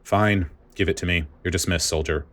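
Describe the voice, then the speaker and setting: A man acting out a stern, irritable male vice admiral for an audio story. The voice is deep and growling, and the delivery is clipped, flat and impatient. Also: moderate pace. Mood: grouchy, curt. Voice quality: low growl. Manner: flat, curt.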